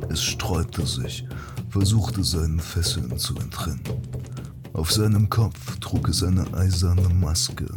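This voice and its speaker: A man's voice: sits low, takes it slowly. A man reading scripted narration for a fantasy audio drama. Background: loud music.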